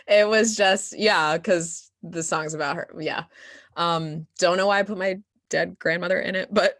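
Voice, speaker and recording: A woman's voice: lowish voice, a bit bright. A young woman answering questions as a guest performer in a casual Q&A, candid and self-deprecating, often laughing through her words. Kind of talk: casual audience Q&A.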